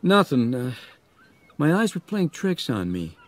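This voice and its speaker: A man's voice: fairly high pitch, big pitch swings, unhurried, pitched above the performer's own voice. A man voicing a hesitant, apologetic boatman on watch in an audiobook. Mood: uneasy, unsure.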